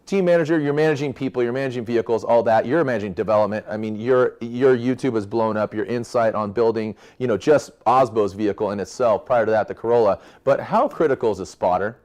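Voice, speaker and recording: A man's voice: medium pitch, fast, hardly any pauses, a little mellow. A man hosting a virtual awards show, chatting loosely with slangy, hyped banter.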